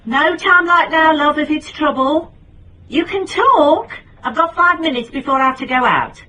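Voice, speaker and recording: An actress playing a middle-aged British private detective, blunt and dryly wry, in radio drama dialogue and noir narration. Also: female voice, sits high, lively intonation, normal speed, pushed and bright, rough recording. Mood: brisk, reassuring.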